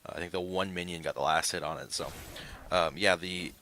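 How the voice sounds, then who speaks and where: A man's voice: sits low, fairly even intonation, brisk, sharp tone, a little hiss or hum. A man giving conversational analysis as colour commentator on a live video game match.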